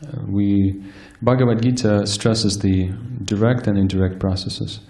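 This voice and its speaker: A man giving a scripture lecture, soft-spoken and hesitant, with frequent fillers and restarts. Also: lowish voice, fairly even intonation, unhurried, gravelly. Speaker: speaker giving a scripture class. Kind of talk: sermon-style lecture. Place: temple hall with live audience.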